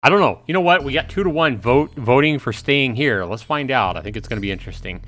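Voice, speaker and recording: A man's voice: mid-range voice, lively intonation, fast talker, bright, forceful tone, faint music behind. Casual man hosting a game livestream. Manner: informal, reacting aloud and chatting to viewers. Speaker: livestream host. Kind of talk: casual game livestream commentary with dramatic read-aloud.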